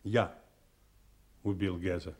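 An actor playing a weary, honest smuggler in a radio play, with a blunt, halting, resigned delivery. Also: male voice, low voice, fairly even intonation, darkish tone, husky, full character voice. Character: weary, honest male smuggler confessing to killing.

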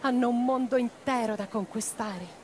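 A woman's voice: fairly high pitch, normal speed, a little mellow, a little hiss or hum, close to an everyday voice. An actress playing an impassioned revolutionary woman in a radio play, declaiming with theatrical intensity. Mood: impassioned, defiant. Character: impassioned revolutionary woman orator and letter-writer. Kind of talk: theatrical dramatic monologue. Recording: guitar music behind the voice. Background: plucked guitar music.

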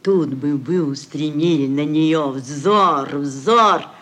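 A woman's voice: low voice, slow pace, fairly bright tone. An actress playing a scheming, sugary middle-aged society mother in a classic radio play, cajoling and declaiming theatrically. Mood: gushing, coaxing. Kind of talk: classic Russian literary radio play acting.

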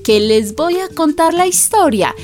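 An actress playing a warm, gossipy Colombian neighbour telling a friend's story in a folksy, confiding way for a radio drama. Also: female voice, high voice, animated, a little slow, music in the background. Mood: fond, confiding.

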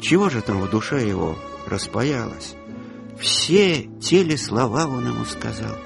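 A man's voice: medium pitch, sing-song, unhurried, gravelly. Male radio drama narrator with music behind.

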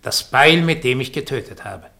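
Radio drama, male: calculating doppelgänger, forceful bright edge, imperious and deliberate. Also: medium pitch, animated, creaky. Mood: cold triumph. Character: Diego, cold calculating doppelgänger, a man who schemes death. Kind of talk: 1960s German radio play acting.

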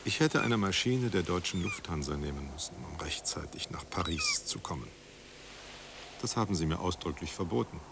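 An actor playing a noble, weary exiled writer in a radio drama, melancholy and devoted, speaking gravely and with measured resignation. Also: male voice, low-pitched, lively intonation. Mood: apologetic. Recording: clear background ambience noise.

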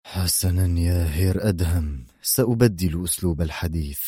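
An arrogant villain spy, acted by a male narrator in an audio adventure. He sounds haughty, stern and menacing, pitched down low with a quieter delivery. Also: steady tone, a little fast, slightly rough, no echo, studio quality. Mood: controlled.

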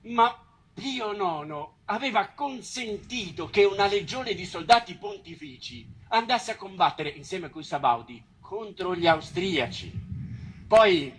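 An actor playing an animated Roman man who recounts city history in theatrical storytelling with a Roman accent. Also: high-pitched, a little slow, full character voice. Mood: insistent. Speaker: stage actor narrating.

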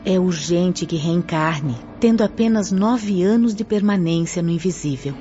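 A woman playing an earnest, authoritative spirit guide in a radio drama, with measured, formal, didactic delivery. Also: on the low side, warm tone, close to an everyday voice. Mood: earnest, explanatory. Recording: dull lo-fi audio under clear music.

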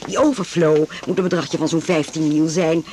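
Gushing genteel saleswoman of a wife, played by an actress, low-voiced, noisy recording. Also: fairly even intonation, moderate pace, close to an everyday voice. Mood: gushing, persuasive. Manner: gushing, affected, salesy chatter. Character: genteel, calculating doctor's wife selling house and practice. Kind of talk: 1980s Dutch radio drama acting.

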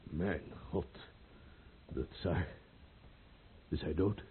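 A man's voice: sits low, extremely slow, a little hiss or hum. An actor playing a suspicious, blunt general in a radio play, formal and challenging in his speech. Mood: shocked. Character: suspicious, blunt male general among conspirators. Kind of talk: Dutch radio play acting. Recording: thin, phone-like old radio recording.

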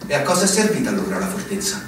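Naive young lieutenant, heavy echo. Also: male voice, high voice, very fast. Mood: eager, curious. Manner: deferential, questioning. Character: young, eager, naive newly commissioned lieutenant, polite. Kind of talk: theatrical stage acting. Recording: very echoey, distant mic, noisy.

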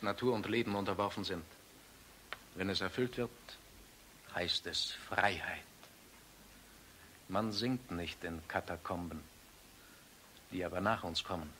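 An actor playing an earnest, idealistic engineer in the secret resistance, speaking solemnly in a German radio play. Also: male voice, on the low side, a little slow, long pauses, bright, forceful tone, a little hiss or hum. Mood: solemn, fervent.

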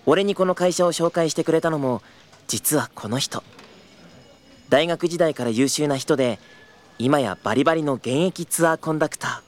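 A man's voice: a little high, normal speed, lightly acted. A young man in his twenties voicing an earnest new trainee who narrates and answers politely, a little flustered, in an audio drama. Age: 20s. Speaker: trainee tour guide, narrator and lead.

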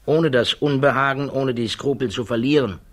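A male actor in a 1960s German radio drama playing a troubled, thoughtful physicist who testifies in a hesitant, measured way. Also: mid-range voice, natural voice. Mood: pensive, troubled.

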